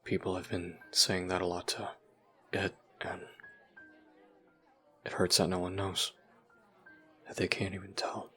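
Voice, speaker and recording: Man acting low, flat, brooding young man. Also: a little slow, long pauses, faint music behind. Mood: bitter, hurt. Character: brooding, guilt-ridden young human recounting a traumatic memory. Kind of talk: dramatic monologue in an audio roleplay.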